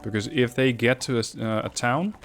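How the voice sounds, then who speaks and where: A man's voice: medium pitch, a little fast, faint music behind. A man giving casual, explanatory let's play commentary, thinking aloud as he goes. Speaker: gaming YouTuber. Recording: clear game noise behind commentary. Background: video game sounds.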